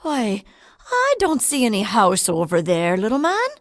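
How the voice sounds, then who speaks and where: A woman's voice: mid-range voice, wildly swooping pitch, unhurried, dry recording, studio quality. Woman doing a folksy old farmer. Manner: bewildered, folksy. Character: curious old Irish farmer husband.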